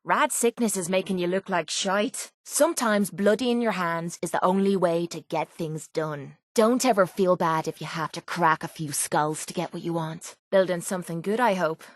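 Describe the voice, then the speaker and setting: A woman's voice: fairly low pitch, no echo, studio quality, natural voice. A woman voicing a tough, sarcastic young Irish mercenary in a video game, with blunt, cocky, slangy delivery. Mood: cocky, sardonic. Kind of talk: video game companion voice lines.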